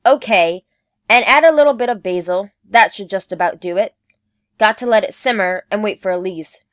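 A woman playing a brisk, practical female caretaker in an amateur online radio drama. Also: medium pitch, close to an everyday voice. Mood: matter-of-fact.

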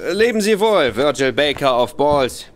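A man's voice: a little high, sing-song, a little slow, a little hiss or hum. A bitter tipsy young nobleman. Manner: tipsy, wavering, spiteful. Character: Sebastian Conroy, young nobleman, bitter, drinking, boastful. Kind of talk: radio play acting.

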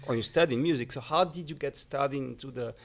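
A man's voice: mid-range voice, brisk, creaky. A man co-hosting a casual studio interview, upbeat, asking long questions. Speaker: podcast co-host. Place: small studio with screens. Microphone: studio mic on stand, headphones.

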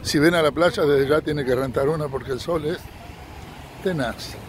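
A man's voice: fairly high pitch, normal speed. A man walking a beach as a travel vlogger, talking upbeat to his viewers and recommending places. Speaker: vlogger and tour guide. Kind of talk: casual walking travel vlog. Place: sunny beach outdoors. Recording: outdoor beach noise in background.

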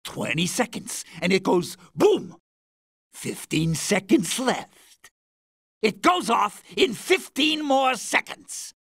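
An actress playing a taunting, sadistic boss fighter in a video game, with mocking, drawn-out delivery. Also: female voice, mid-range voice, very animated, gravelly, a bit bright, husky, dry recording, studio quality, exaggerated voice. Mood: gloating.

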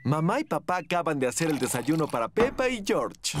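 A man's voice: on the high side, sing-song, brisk. A man reading scripted narration for a children's cartoon. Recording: music under the voice.

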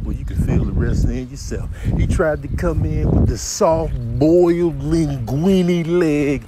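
An American man voicing brash, wisecracking animals in comic video voiceovers, with slangy exclamations and drawn-out words. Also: on the high side, noisy background, pitched below the performer's own voice, lightly acted. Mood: indignant, cocky.

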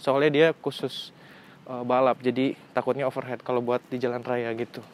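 Young male vlogger, medium-pitched, slangy and exclamatory, casual outdoor bike review, light background noise. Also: level tone, normal speed. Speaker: motorcycle reviewer. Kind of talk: casual hands-on vlog review. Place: outdoors on a residential street.

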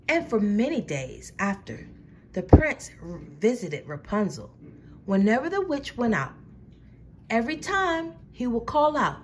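A woman storyteller reading a children's storybook aloud with dramatic, deliberate phrasing. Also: a little high, a little hiss or hum.

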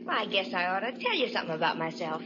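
A woman's voice: lowish voice, big pitch swings, racing. An actress playing a chatty, naive, coquettish hitchhiking girl in a 1940s radio drama, with slangy working-class talk. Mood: curious, defensive. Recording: muffled, noisy 1940s radio recording.

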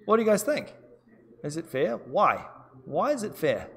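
A man's voice: high-pitched, very animated, moderate pace, creaky, slight background noise. A man with a New Zealand accent teaching a live-streamed law seminar, chatty and casual, putting questions to his students. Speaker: law lecturer. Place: lecture room with long reverb. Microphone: distant room microphone. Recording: reverberant lecture hall sound.

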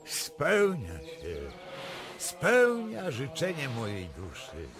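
A man's voice: mid-range voice, wildly swooping pitch, very slow, over music, full character voice. An actor playing a proud, anguished, doomed Polish king in a radio drama, solemn and declamatory. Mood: exalted, uneasy.

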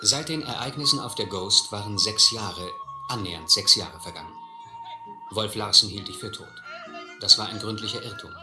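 A seasoned sea captain in an adventure radio play, earnest and measured in a literary storytelling style. The voice is on the low side, roomy, with music behind it. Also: male voice, huge pitch swings. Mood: reflective. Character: seasoned, restless South Seas schooner captain recounting adventures. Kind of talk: German radio play narration, literary and scripted.

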